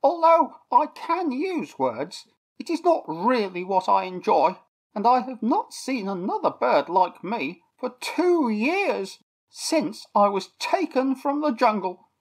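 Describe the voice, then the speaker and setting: A squawky male toucan, as a woman puts him on, chattering high above her usual voice. He is excitable, repeats himself and swoops up and down with every phrase in this storybook reading. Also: slow pace, slightly rough, exaggerated voice. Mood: relieved.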